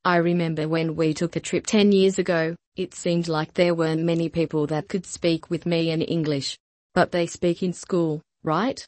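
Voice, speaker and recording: A woman with a somewhat low voice reads lesson sentences with slow, even care, repeating each one for learners. The sound is close and dry, with no room around it. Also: no room sound. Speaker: language lesson reader. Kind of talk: scripted repeat-after-me drill.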